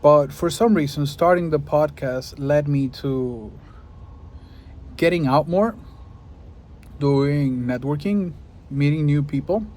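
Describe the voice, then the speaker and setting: A man's voice: fairly high pitch, takes it slowly, long pauses, a little mellow, slight background noise. A man, a Spanish speaker, musing thoughtfully in a casual monologue to camera and often searching for his words. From Venezuela. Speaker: podcaster and video creator.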